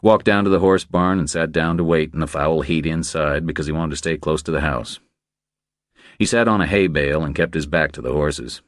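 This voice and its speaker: A man's voice: a bass voice, a little fast, no room sound, studio quality. A man reading scripted crime thriller narration as an audiobook narrator.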